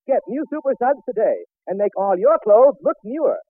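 A male announcer speaks in old-fashioned radio advertising style. His voice is high, and the recording is dry and tinny, like a vintage broadcast. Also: big pitch swings, normal speed, no echo.

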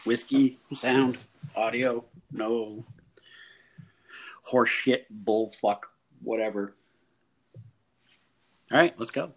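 A man's voice: mid-range voice, takes it slowly, long pauses. A man co-hosting a movie review podcast, enthusiastic and profane in casual chat. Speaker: podcast co-host.

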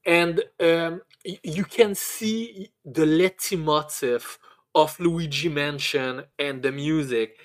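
A man's voice: a little high, slow pace. A man co-hosting a podcast, giving a blunt, sweary movie review in casual chat, often stammering and repeating words as he goes. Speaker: podcast co-host.

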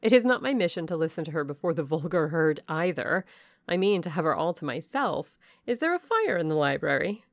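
A woman's voice: a little high, big pitch swings, a little slow, gravelly, few pauses, no echo, studio quality, pitched above the performer's own voice, exaggerated voice. A woman voicing a haughty young noblewoman who gives orders and speaks with scorn. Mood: commanding. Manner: commanding, scornful.

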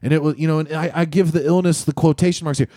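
A man's voice: a little high, steady tone, fast, warm tone, dry recording, studio quality. Blunt, swearing podcast man. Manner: blunt, profane, rambling. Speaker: podcast co-host. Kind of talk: casual sports chat.

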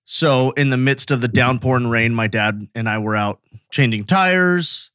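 An American man speaks as a podcast co-host, with a medium pitch. He tells stories in a casual, conversational way, and the sound is dry with hardly any echo. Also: a little slow, a bit bright. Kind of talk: casual anecdotal chat.

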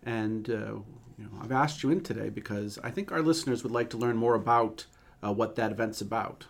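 A man's voice: lowish voice, fairly quick, gravelly. A man, a school superintendent, hosting a podcast and asking questions in a friendly, slightly hesitant way.

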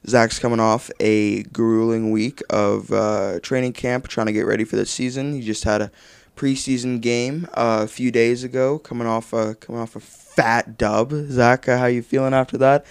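A man's voice: mid-range voice, fairly even intonation, normal speed, creaky, clear, resonant tone, dry recording. A young man co-hosting a casual sports podcast, talking loosely and swearing now and then. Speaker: podcast co-host.

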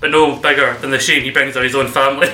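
A man's voice: mid-range voice, fairly even intonation, fast talker, pushed and bright. A man hosting a comedy wrestling podcast, teasing his co-hosts and laughing often. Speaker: podcast host. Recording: echoey room.

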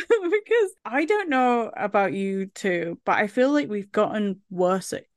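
A woman's voice: mid-range voice, sing-song, measured pace, dry recording, studio quality. A woman chatting casually as a podcast co-host, candid and self-reflective in an informal way. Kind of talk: casual chat discussion.

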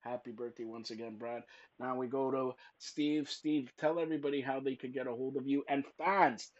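A man hosting a wrestling podcast, talking loudly and teasing his guests, rambling with lots of fillers. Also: mid-range voice, fairly even intonation, normal speed, muffled recording. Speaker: podcast host. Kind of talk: loud joking wrestling podcast chat.

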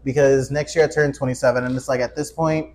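A man's voice: medium pitch, fast talker, slight background noise. A man in his twenties chatting casually as a podcast host, joking and swearing freely. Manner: profane, joking, animated self-interruptions. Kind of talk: casual chat.

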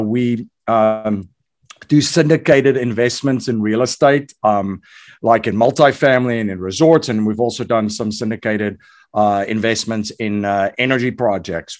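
A man's voice: lowish voice, moderate pace. South African-born man telling his story. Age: 40s. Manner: energetic storyteller, many fillers and restarts. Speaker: guest, investor and entrepreneur. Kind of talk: podcast interview.

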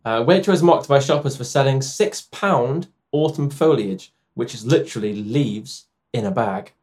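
A man's voice: mid-range voice, moderate pace, a little mellow. A man hosting a casual podcast, chatting in a joking, self-deprecating way and often trailing off. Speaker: podcast host. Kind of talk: casual comedic chat.

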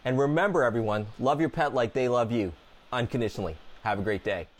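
A man's voice: mid-range voice, fast talker. A man hosting a radio interview show, an enthusiastic and chatty veterinarian who has a cold.

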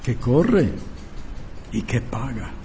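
A man's voice: medium pitch, big pitch swings, extremely slow, dark tone, a little hiss or hum. An older man in Spanish, preaching and teaching emphatically, repeating key phrases and asking rhetorical questions. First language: not a native Spanish speaker.

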